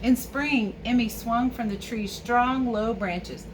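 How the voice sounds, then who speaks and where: A woman's voice: a little high, slow. A woman teacher reading a picture book aloud to children with chatty asides. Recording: clear background ambient noise.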